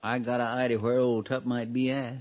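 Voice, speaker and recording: An actor playing an eager, deferential deputy sidekick with a drawling rural Western American accent in a radio western. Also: male voice, medium pitch, little pitch movement, fairly quick, darkish tone, lightly acted. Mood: earnest, alert. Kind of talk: 1950s radio western acting. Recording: muffled, noisy old radio recording.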